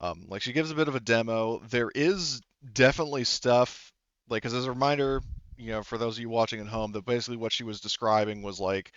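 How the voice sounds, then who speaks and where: A man's voice: medium pitch, a bit bright, clean tone, dry recording, dull audio. A man narrating a tabletop roleplaying game as game master, improvising in a hesitant, rambling way full of filler words.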